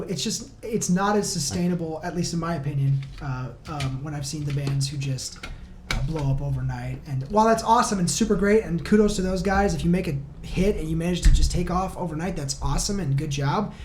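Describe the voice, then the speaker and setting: A man's voice: on the high side, a little fast, darkish tone, a little hiss or hum. A man speaking as a podcast guest in casual chat, explaining things earnestly with some slang. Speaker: band member, podcast guest.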